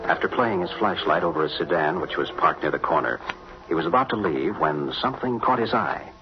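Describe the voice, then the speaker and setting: A man's voice: very deep voice, lively intonation, brisk. A man narrating a 1940s radio drama in scripted, old-time broadcast style. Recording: muffled 1940s radio, music behind.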